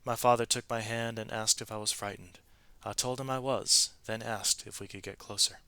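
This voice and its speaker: A man's voice: fairly low pitch, fairly even intonation, normal speed, bright, forceful tone, clear, resonant tone, no echo. A man reading the words of a plain-spoken, reflective elderly woman in a storybook. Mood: wistful. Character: candid, wistful elderly woman recalling her life.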